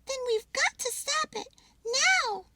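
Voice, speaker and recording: A woman voicing an excitable, earnest young boy squirrel from a storybook, breathless and exclaiming. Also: extremely high pitch, slow pace, noisy background, voice pushed up, heavily acted. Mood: urgent.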